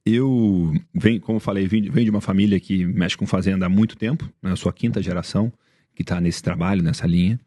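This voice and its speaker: A man's voice: deep voice, fast talker, warm tone, dry recording, studio quality. Man with a light carioca accent. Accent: Rio de Janeiro Portuguese. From Brazil. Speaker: podcast guest. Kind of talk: casual interview chat.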